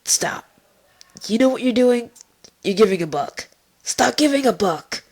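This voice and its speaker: A cool, guarded party pony played by a woman with a husky voice. She talks slowly and tersely, in a matter-of-fact, deadpan way, as in an audio fan drama. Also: fairly high pitch, full character voice. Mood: blunt, stern.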